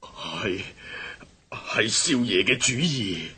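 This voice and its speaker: A stammering frightened servant. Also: male voice, lowish voice, animated, very slow, pushed and bright, husky, heavily acted. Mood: fearful. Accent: Hong Kong Cantonese. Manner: stammering, timid. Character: frightened male servant caught in a scheme. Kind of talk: radio drama acting.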